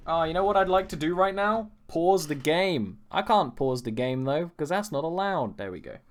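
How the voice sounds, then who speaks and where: A man's voice: on the high side, lively intonation, normal speed. A young man streaming his first run of a game, chatting casually and joking at his own expense. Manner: playful, jokey, self-mocking. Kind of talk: casual gameplay commentary.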